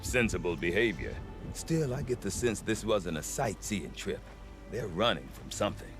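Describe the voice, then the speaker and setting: Wily, sentimental male merchant for a fantasy game: lowish pitch, very expressive, wry self-justifier. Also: moderate pace, music in the background. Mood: pleading, animated. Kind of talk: video game cutscene acting.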